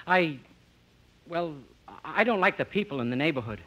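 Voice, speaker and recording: A man's voice: on the high side, lively intonation, moderate pace, heavy background noise, lightly acted. A man playing a polite but blunt, naive young fellow with earnest delivery in 1950s radio comedy.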